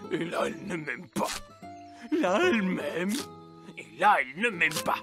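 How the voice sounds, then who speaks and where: A creaky-voiced, chatty little gnome fellow from a children's cartoon. He is theatrical and dreamy, with a singsong delivery. Loud music plays behind him. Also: high-pitched, lively intonation, unhurried. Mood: lovestruck. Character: food-loving lovestruck male gnome, chatty and cheerful. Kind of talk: children's cartoon dub in French.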